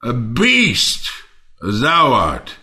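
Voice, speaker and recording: A man's voice: mid-range voice, huge pitch swings, sharp tone, exaggerated voice. An actor playing a bitter man who hates mankind in a Shakespearean audio drama, declaiming scornfully. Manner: declamatory, scornful, drawn-out phrasing with sudden swoops.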